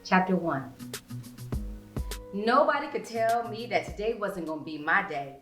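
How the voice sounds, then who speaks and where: Helle, ausdrucksvolle Erzählerin, hallig. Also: female voice, medium pitch, lively intonation, unhurried, fairly bright tone, faint music behind. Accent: American. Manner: dramatic, emphatic phrasing. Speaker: author reading own novel. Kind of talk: expressive first-person audiobook narration. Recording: roomy indoor echo.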